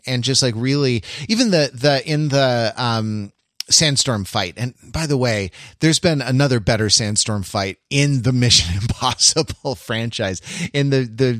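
A man's voice: medium pitch, a bit bright, dry recording. Male podcast co-host, casual film chat, searching and repetitive delivery, very dry close sound. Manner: halting, searching, repeats phrases as he thinks aloud.

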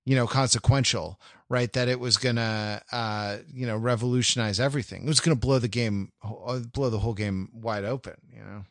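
A man co-hosting a casual pop-culture discussion in a halting, wry way. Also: medium pitch, steady tone, a bit bright, dry recording, studio quality. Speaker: podcast co-host.

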